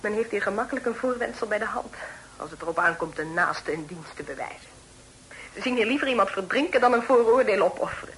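A woman's voice: medium pitch, expressive, quick pace, fairly bright tone, close to an everyday voice. An actress playing an easily frightened, distressed young lady in a period radio drama, speaking formally and pleadingly. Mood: bitter, reproachful. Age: young adult. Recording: noisy old radio drama recording.

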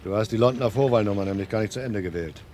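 An actor playing a weary, resigned art forger in a German crime radio play, terse and matter-of-fact. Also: male voice, fairly low pitch, very fast, a little mellow, close to an everyday voice. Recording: vintage radio play, faint engine-like noise.

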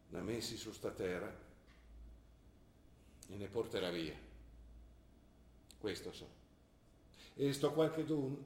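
An older man playing an ill, worried father who lectures and pleads with his daughter, in an earnest manner. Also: medium pitch. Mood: grave. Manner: earnest, lecturing, rising to anguished pleas. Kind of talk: stage drama acting. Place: theatre stage. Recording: roomy stage recording.

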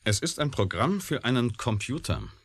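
An actor in a science fiction radio play, playing an intense, defiant scientist who speaks in an emphatic, pensive way. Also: male voice, mid-range voice, sing-song, normal speed. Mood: insistent. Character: intense, brooding, defiant scientist, grown man. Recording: faintly hissy old radio drama recording.

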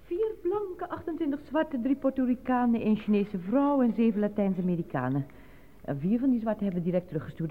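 A brisk, callous female official with lively pitch movement and a matter-of-fact delivery. It is radio drama, heard through a thin, narrow-band old recording. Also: a little hiss or hum. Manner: matter-of-fact, businesslike reporting. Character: brisk, callous woman official tallying bodies and harvested organs. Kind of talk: Dutch radio play acting.

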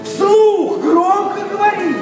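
An effusive chatterbox in a verse radio drama. He declaims slowly in a very high-pitched male voice, boasting and abasing himself theatrically, in an echoey hall with music behind. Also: recorded from a distance. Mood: excited boasting. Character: effusive, boastful, self-abasing man, a noisy muddled chatterbox.

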